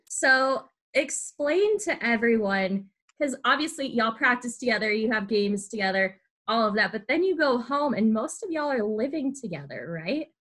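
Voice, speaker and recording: A woman's voice: on the high side, lively intonation, normal speed, hardly any pauses, a bit bright. A young adult woman hosting a podcast, interviewing in a casual, chatty style. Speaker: podcast host and team staff member.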